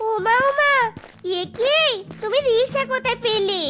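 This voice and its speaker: A woman's voice: very high voice, measured pace. A woman voicing a young, devoted and anxious female fox wife in a cartoon, fussing and plaintive. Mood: surprised. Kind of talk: exaggerated cartoon dialogue. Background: loud children's-style music.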